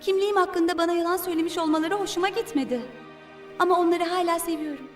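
A woman's voice: very high-pitched, steady tone, fast talker. A woman voicing a sweet, trusting young princess in a cartoon dub, polite and earnest. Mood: earnest, wistful. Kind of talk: dubbed cartoon acting. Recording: distant, echoey, music behind.

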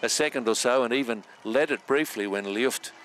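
A man giving live sports commentary in a measured, steady play-by-play manner with filler pauses. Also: medium pitch.

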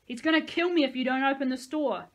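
A woman voicing young Dean shouting orders. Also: medium pitch, fairly even intonation, a little fast, lightly acted. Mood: urgent shouting. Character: young male survivor, leader of the group.

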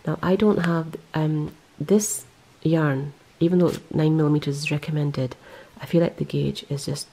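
Low gravelly woman, rambling knitting chat. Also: fairly even intonation, normal speed, few pauses, dark tone, low-quality recording. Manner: rambling, self-correcting, lots of ums. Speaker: knitting vlogger. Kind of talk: casual show-and-tell. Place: indoors, close-up on hands and yarn.

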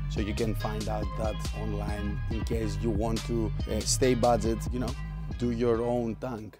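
A man in his thirties speaks in accented English with a Greek lilt, talking through his car project with chatty enthusiasm. He is recorded close to a handheld camera outdoors in a driveway workshop. Soft music plays faintly beneath his voice. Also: medium pitch. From Greece. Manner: chatty, upbeat, non-native English. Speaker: car-build vlogger. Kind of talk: casual workshop vlog. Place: outdoor driveway garage under a canopy.

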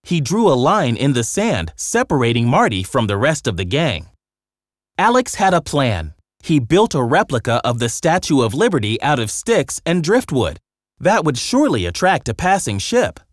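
A man's voice: medium pitch, huge pitch swings, normal speed, dry recording, clean studio sound. A man narrating a scripted children's story with dramatic storytelling delivery.

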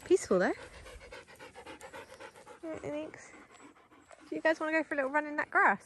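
A woman chats casually to the camera while riding an electric bike along a forest track. She breaks into a high, coaxing sing-song whenever she calls her dogs. Steady wind and road noise sit clearly under her voice in this outdoor recording. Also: normal speed. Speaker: vlogger.

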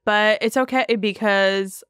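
Bright, very clear young woman chatting candidly. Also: mid-range voice, fairly even intonation, unhurried, no room sound, clean studio sound. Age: 20s. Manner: candid, slangy, heavy cursing. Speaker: podcast co-host. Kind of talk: casual podcast chat.

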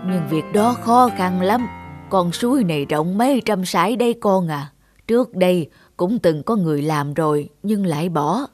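A woman's voice: on the low side, moderate pace, a little mellow, natural voice. Woman as cautious old village mother over music. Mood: doubtful. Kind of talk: cartoon dubbing.

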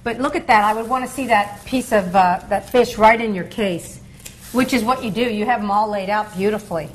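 A woman's voice: mid-range voice, brisk, a little hiss or hum. A woman hosting a cooking show, eagerly asking her guest questions in casual chat.

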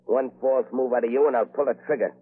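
An actor playing a ruthless, menacing gang boss in a 1930s radio crime drama, with snarling, threatening tough talk. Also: male voice, medium pitch, steady tone, fast talker, breathy edge, close to an everyday voice. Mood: commanding, threatening. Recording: muffled scratchy 1930s radio recording.

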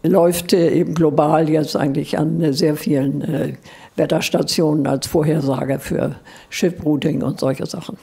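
Older woman, audience guest, husky and gravelly, hesitant plain delivery into a handheld mic. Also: sits low, fairly even intonation, normal speed, mellow. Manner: plain, hesitant, many fillers. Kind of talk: spontaneous answers from the audience. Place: seated in a large indoor auditorium. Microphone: handheld mic held out to her.

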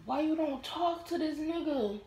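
A young American woman chatting casually in an animated, brash way, swearing heavily as she banters with friends. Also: high-pitched, moderate pace, roomy sound. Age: young adult. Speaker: vlogger. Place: small home room.

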